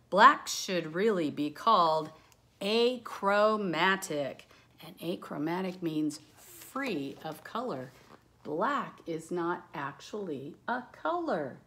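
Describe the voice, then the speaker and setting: A woman reading a picture book aloud with expressive, dramatic emphasis, lingering on words and adding casual asides. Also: lowish voice, slow. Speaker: read-aloud storyteller.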